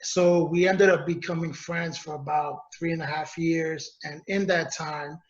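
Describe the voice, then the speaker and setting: A man's voice: a little high, steady tone, a little slow, reverberant, highs cut off. A man from North New Jersey, a guest speaking candidly and joking a little about meeting his wife. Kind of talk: casual chat.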